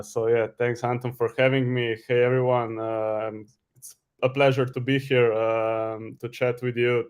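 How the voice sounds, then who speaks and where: A man's voice: medium pitch, darkish tone, clean tone. A man from Slovenia with a Slovenian accent, a guest explaining his professional background informally on an online call. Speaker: guest, crypto treasury specialist. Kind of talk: informal professional self-introduction.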